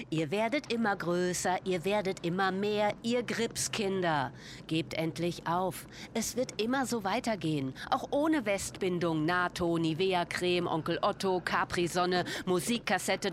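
A world-weary, defiant woman holds forth in a radio play. Her mid-pitched voice is emphatic, with slight ambient noise around it. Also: fairly bright tone. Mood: defiant, scolding. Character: defiant, world-weary woman lecturing those she loves. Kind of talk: satirical radio play monologue.

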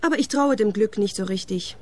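An actress playing a calm, matter-of-fact young woman living alone in a haunted house. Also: mid-range voice, a little fast, natural voice. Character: young woman tenant, calm, unafraid of ghosts.

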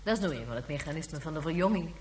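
A woman's voice: low voice, lively intonation, racing. A woman playing an ageless, youthful, reasoning and protective character in a radio play, speaking earnestly, with slight noise on the recording. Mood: reflective. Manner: earnest, explaining. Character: youthful-looking 197-year-old woman, reasoning, protective, life-loving. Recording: faint noise and hum.

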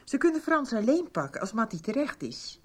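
A woman's voice: on the high side, big pitch swings, a little fast. An actress playing a worried but determined mother in a 1990s Dutch radio soap. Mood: urging, determined.

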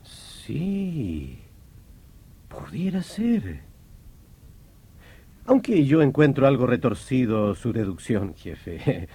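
Slow, sceptical young inspector. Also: male voice, mid-range voice, very animated, warm tone, breathy edge, full character voice. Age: 30s. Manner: wry, measured, doubting. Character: sceptical thirty-year-old French police inspector, wry, world-weary. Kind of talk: old radio drama acting.

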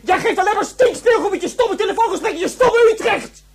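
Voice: extremely high pitch, expressive, fast. A performer playing a whiny, insistent and imaginative young boy in a radio drama, with a childlike voice. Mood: sulky, bitter. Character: petulant, imaginative young boy, upset about his mother.

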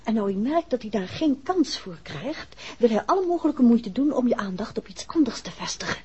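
An actress playing a detective's inquisitive, affectionate wife in a 1960s radio drama, well-spoken and theatrical. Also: female voice, on the high side, big pitch swings, brisk, darkish tone. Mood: confiding. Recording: dull old radio recording, crowd noise.

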